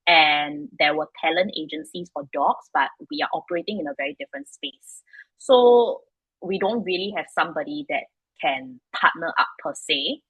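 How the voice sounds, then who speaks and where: A woman with a Singaporean English accent, in her thirties, talks frankly and casually as a founder on an interview podcast. Her voice is low-pitched yet bright, with a slightly husky grain. The sound is dry and close, with no room around it. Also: normal speed, no echo, highs cut off. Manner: frank, chatty, many fillers like "like" and "you know". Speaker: startup founder and guest.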